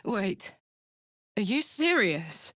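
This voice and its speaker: A woman playing a brash, big-hearted tiefling barbarian in a video game, blunt, earthy and expressive. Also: mid-range voice, very slow, dry recording, studio quality, heavily acted. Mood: alert, matter-of-fact. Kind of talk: video game character voice lines.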